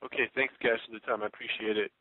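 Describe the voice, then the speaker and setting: A man's voice: fairly low pitch, level tone, fast talker, no echo. A male reporter asking questions on a press call over a thin phone line, stumbling and rambling a little.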